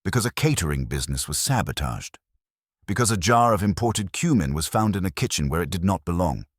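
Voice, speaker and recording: A man plays a commanding private detective of cool logic in an audiobook mystery. He speaks formally and deliberately, with pompous precision, in a very deep, husky voice. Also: sing-song, no echo, studio quality. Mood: probing, dry.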